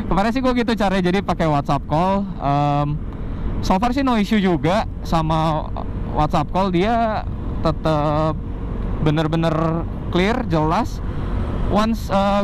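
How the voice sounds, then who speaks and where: High-voiced young Jakarta man, casual reviewer. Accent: Jakarta Indonesian. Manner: informal, mixes in English phrases. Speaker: vlogger reviewing motorcycle gear. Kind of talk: casual unscripted product review. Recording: clear outdoor traffic and vehicle noise.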